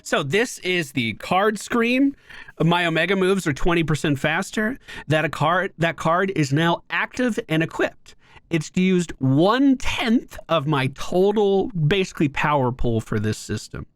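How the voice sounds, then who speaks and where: A man hosting a gaming video with playful, excited, casual commentary, joking along with the game. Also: fairly high pitch, measured pace, no echo, clean studio sound. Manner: playful, jokey, talks to the game.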